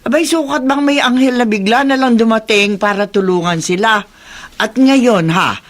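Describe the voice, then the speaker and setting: An actress with a Filipino accent playing a chatty, excitable, gossipy woman in a radio drama. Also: fairly high pitch, normal speed, natural voice. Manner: exclamatory, chatty.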